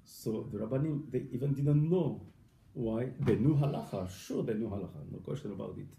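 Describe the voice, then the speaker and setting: Rabbi guy teaching a class, stops and repeats a lot, gravelly low-toned voice, echoey room. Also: mellow. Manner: halting, repeats words, mixes Hebrew and Yiddish terms. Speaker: rabbi teaching family purity law. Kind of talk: informal lesson. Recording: echoey room, faint background noise.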